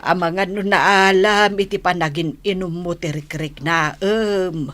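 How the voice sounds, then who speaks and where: A woman's voice: fairly low pitch, steady tone, a little slow, fairly bright tone. A woman acting an anxious, loving elderly mother in an Ilocano radio drama, sighing, fretting and scolding. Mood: fretful scolding.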